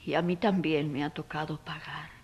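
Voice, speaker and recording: Woman as anguished mother, very husky breathy voice, tender, pleading, protective, radio drama. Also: low voice, fairly even intonation, moderate pace, a little mellow, dull audio. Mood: tender resolve. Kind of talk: audio drama acting.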